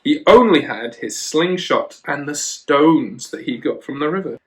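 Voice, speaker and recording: A man's voice: a little high, lively intonation, a little slow. A man reading a children's storybook aloud, with chatty asides to a child. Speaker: children and youth worker, storyteller.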